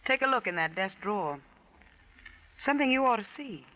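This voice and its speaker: A woman's voice: mid-range voice, expressive, normal speed, bright, forceful tone, slight background noise. A woman playing a guarded, hard-edged schemer in a 1940s radio crime mystery, curt and wary. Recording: distant, thin old radio recording.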